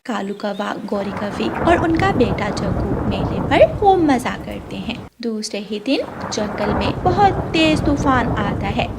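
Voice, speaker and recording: A woman's voice: a little high, very noisy. A woman reading scripted narration for a children's story.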